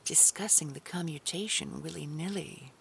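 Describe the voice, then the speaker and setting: A low, creaky female boss of a secret order played by a woman actor drips with condescending sweetness. Her threats stay veiled under pet names, often sinking toward a breathy whisper, in audio drama style over faint noise. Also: moderate pace, fairly bright tone, rough recording. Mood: sweetly menacing. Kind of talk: scripted audio drama roleplay.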